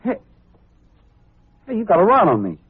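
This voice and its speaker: A hostile young street tough in a vintage radio crime drama. He is husky and very animated in pitch, slangy and always on the defensive. The sound is thin and boxy, like an old broadcast. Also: moderate pace, heavily acted. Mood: wary. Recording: narrow-band old radio recording.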